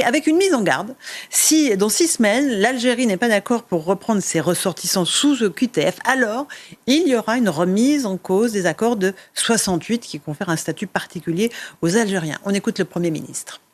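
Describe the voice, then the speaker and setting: A man hosting a TV and radio talk show, introducing topics and questioning his guest briskly, with frequent fillers. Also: high-pitched. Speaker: host.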